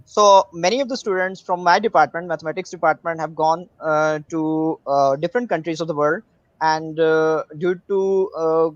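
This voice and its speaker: A man from Pakistan practicing English in an online group, speaking haltingly with many fillers over a video call. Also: on the high side, steady tone, no echo. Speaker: participant in conversation group. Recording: dry, dull lo-fi call audio.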